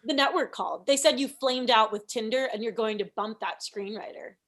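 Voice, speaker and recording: A woman's voice: on the high side, brisk, a bit bright, close to an everyday voice. A woman playing an oblivious, meddling show coworker in a comedy table read, chatty and matter-of-fact. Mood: urgent.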